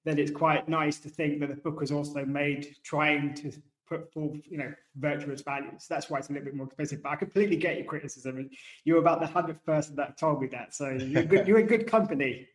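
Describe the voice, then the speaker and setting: British man, thirties, chatty, self-deprecating, slightly high voice, roomy echo. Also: fairly even intonation, brisk. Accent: British, softened working-class. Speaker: guest author. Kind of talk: casual interview talk. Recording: echoey room.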